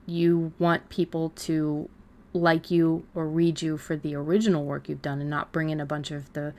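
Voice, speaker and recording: A woman's voice: deep voice, little pitch movement, normal speed, darkish tone. A woman co-hosting a podcast, talking in an informal, loosely rambling way. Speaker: podcast co-host and fiction writer. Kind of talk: casual podcast chat.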